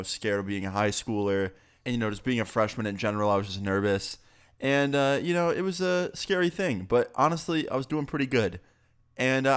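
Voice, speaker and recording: A man's voice: lowish voice, clear, resonant tone. A young man, a bit sick, telling a casual, profane story on his vlog. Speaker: YouTuber.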